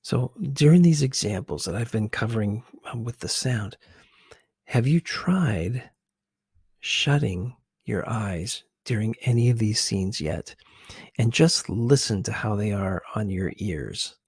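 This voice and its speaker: A man with a low, husky voice hosts a conversational ASMR commentary. He talks in a hushed, halting way, often searching for his words. The sound is close and dry. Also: expressive, no room sound. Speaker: video host.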